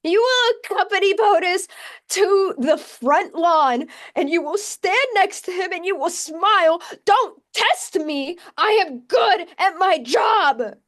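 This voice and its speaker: An anxious, officious female aide, played by an actress, barely holding herself together. Her voice is high and she speaks slowly, insistent even as she stammers, as in a play reading. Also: full character voice. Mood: forced firmness. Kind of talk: script table read.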